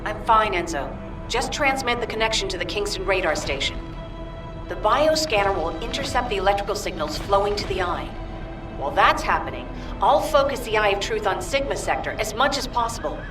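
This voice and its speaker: A woman's voice: mid-range voice, sing-song, brisk, close to an everyday voice. Woman acting a resolute combat agent, echoing, distant. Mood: determined. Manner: businesslike, decisive. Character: resolute female combat agent, brisk and focused. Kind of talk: dramatic video game voice acting. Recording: distant, echoing, music behind.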